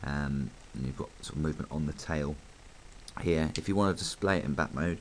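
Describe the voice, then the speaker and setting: A man with a croaky voice from hay fever, chatting casually and rambling a little as he reviews a toy. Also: extremely low pitch, a little fast, slight background noise. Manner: chatty, rambling, full of ums.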